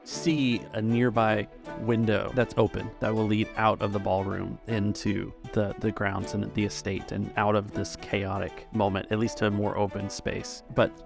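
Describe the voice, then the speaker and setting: A man with a lowish voice and plenty of fry runs a tabletop game as game master. He narrates scenes and explains rules over faint music. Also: level tone, normal speed, few pauses.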